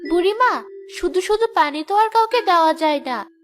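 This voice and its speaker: Someone doing sweet helpful village girl for a cartoon, high voice, music underneath. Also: animated, moderate pace, a bit bright. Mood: caring. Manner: sweet, eager, caring. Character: kind, helpful young village sister, potter girl. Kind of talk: cartoon voice acting.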